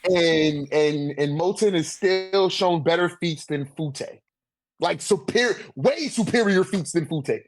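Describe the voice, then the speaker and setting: A man's voice: a little high, measured pace, no echo. A man debating casually in profane slang, repeating his phrases for emphasis.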